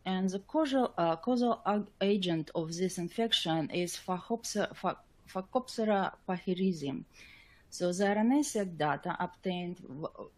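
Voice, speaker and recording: A woman scientist presenting a technical slide lecture in a webinar, with a halting, hesitant delivery. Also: lowish voice, a little mellow.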